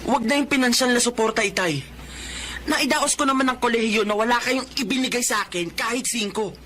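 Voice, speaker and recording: A man's voice: very high-pitched, fairly quick, pushed and bright, a little hiss or hum. A man with a Filipino accent playing an earnest young son and aspiring policeman in an emotional radio drama. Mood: hurt, bitter. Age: young adult. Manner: emotional, emphatic.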